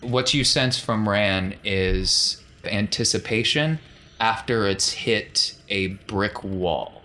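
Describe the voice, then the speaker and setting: A man's voice: on the low side, slow pace, sharp tone. A man narrating a tabletop game as its game master.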